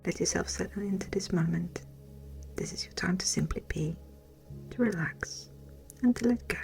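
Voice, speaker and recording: A woman with a breathy, whispery voice gently and soothingly guides a meditation in a measured way. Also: lowish voice. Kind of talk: slow guided meditation narration. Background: music.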